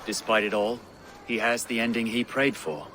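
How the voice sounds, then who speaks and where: A man's voice: medium pitch, flat delivery, moderate pace, sharp tone, a little hiss or hum, close to an everyday voice. An actor playing a grave, proud nobleman, speaking formally and with restraint in a game cutscene. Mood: somber. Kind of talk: dramatic game cutscene acting.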